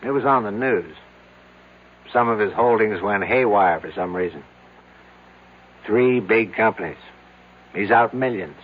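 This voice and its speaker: An actor playing a cool, skeptical, matter-of-fact brother in an old-time radio drama. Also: male voice, fairly low pitch, unhurried, pauses often, breathy edge. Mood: grave, detached. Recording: tinny phone-like vintage radio.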